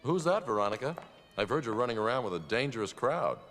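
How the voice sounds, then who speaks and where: An actor playing a reserved wealthy man who speaks in measured, dry warnings. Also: mid-range voice, very animated, fairly bright tone, faint music behind. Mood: cautioning. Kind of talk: animated series voice acting.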